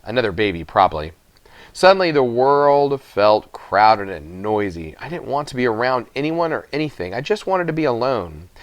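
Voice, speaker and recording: A man reading a children's story aloud as narrator, with expressive, dramatic emphasis on phrases. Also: mid-range voice, normal speed, dry recording. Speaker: audiobook reader.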